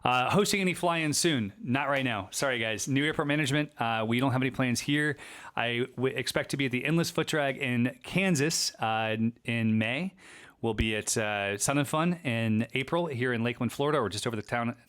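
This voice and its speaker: A man's voice: medium pitch, normal speed, a bit bright. A man hosting a casual livestream Q&A, chatty and upbeat, rambling a little and losing his voice. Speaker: livestream host and shop owner.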